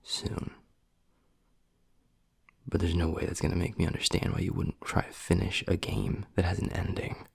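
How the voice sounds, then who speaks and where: Man playing a deep, gravelly, half-whispering werewolf boyfriend. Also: flat delivery, no room sound, full character voice. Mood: firm, coaxing. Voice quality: husky, gravelly, breathy. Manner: low, halting, half-whispered delivery. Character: gruff, guarded but tender young male werewolf boyfriend. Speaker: voice actor in audio roleplay. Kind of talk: intimate boyfriend audio roleplay.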